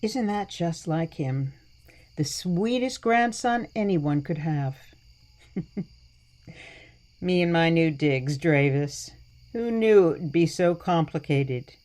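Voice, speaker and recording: An elderly grandmother, played by an actress in a radio drama, weary but sweet and wry. She speaks slowly and rather low for a woman, with very expressive rises and falls. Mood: sweet, musing. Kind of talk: radio play acting.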